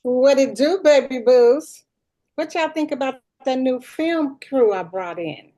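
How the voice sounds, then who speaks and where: A woman's voice: high-pitched, animated, a little slow, dark tone. A woman playing a tone-deaf, attention-seeking school principal in her forties in a sitcom table read, slangy and dismissive. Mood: breezy, self-satisfied. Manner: self-satisfied, dismissive, slangy.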